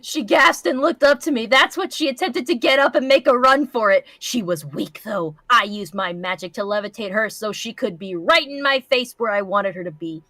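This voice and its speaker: A woman's voice: a little high, normal speed, few pauses, sharp tone, no room sound. A woman giving a playful, theatrical amateur live reading of a story, close to the microphone. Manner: theatrical, foul-mouthed, playful.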